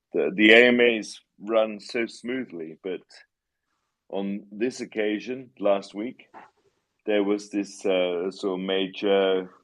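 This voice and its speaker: A man hosting a live online audio chat, halting and apologetic, full of restarts and fillers, with a wry streak. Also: lowish voice, fairly bright tone. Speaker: AMA host. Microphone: online audio call.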